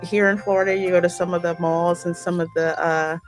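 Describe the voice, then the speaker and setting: A woman's voice: fairly low pitch, flat delivery, fairly quick, soft background music. A woman with an American accent, a gaming host chatting with a co-host in a colloquial, playful way. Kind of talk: casual reaction chat with a co-host.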